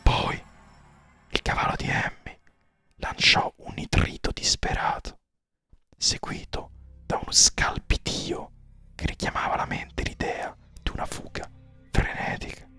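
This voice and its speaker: A man narrating a horror story in a hushed, breathy, suspenseful and theatrical voice with measured pauses. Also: in a whisper, faint music behind. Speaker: audiobook narrator.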